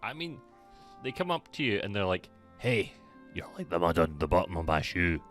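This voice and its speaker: A man's voice: sits low, big pitch swings, moderate pace, music in the background. A man giving casual live commentary while streaming a video game, with wry, dry asides.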